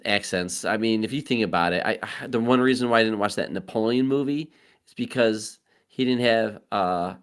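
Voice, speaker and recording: A man's voice: fairly low pitch, moderate pace, clear, resonant tone. A man co-hosting a casual podcast, rambling and thinking aloud with many fillers. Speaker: podcast co-host.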